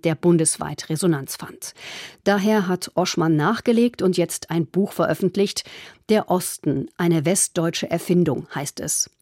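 A woman presents a radio book segment with a fairly low voice. She reads her script calmly and evenly, close to a studio microphone with a dry sound. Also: no room sound. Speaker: radio book-review show host.

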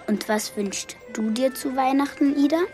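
A woman's voice: high voice, normal speed, warm tone, faint music behind, close to an everyday voice. A woman playing a dreamy, wistful little orphan boy in a children's radio play. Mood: wistful, hopeful.

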